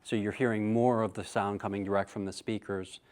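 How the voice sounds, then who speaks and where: A man working as showroom staff, explaining casually in a measured, undogmatic way. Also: fairly low pitch, little pitch movement, darkish tone, smooth. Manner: measured, hedging advice. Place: hi-fi showroom, indoors.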